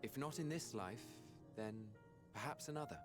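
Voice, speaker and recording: A man's voice: mid-range voice, animated, normal speed, close to an everyday voice. An actor playing a serene, courteous male friend in a video game, gracious and formal in his speech. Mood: tender, hopeful. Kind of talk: dramatic video game acting. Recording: music under the voice.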